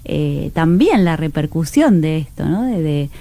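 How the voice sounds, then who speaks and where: A woman's voice: low voice, big pitch swings, measured pace, mellow. A woman with a Rioplatense Argentine accent, speaking conversationally as a guest in a radio interview.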